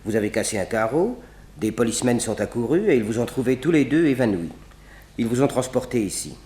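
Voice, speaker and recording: An actor playing a brisk, excitable hospital doctor in a 1960s French radio drama. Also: male voice, medium pitch, echoey room, lightly acted. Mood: matter-of-fact.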